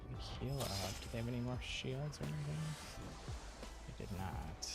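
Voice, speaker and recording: A man's voice: medium pitch, lively intonation, moderate pace, vocal fry. A man giving casual live commentary as a game streamer, mild-mannered with clean exclamations. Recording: game music behind the voice. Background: loud game music.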